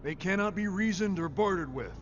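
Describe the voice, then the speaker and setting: A man playing a grave, resolute senior naval officer, formal and measured, in an animated military sci-fi drama. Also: sits high, slight background noise. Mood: grave briefing. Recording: slightly dull, music behind.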